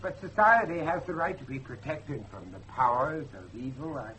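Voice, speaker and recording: A middle-aged man acting a determined father and judge in old-fashioned radio drama style, with a dramatic, deliberate delivery. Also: mid-range voice, lively intonation, bright, forceful tone, a little hiss or hum, rough recording. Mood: grave, reflective. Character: grave, determined father, a judge seeking his missing son.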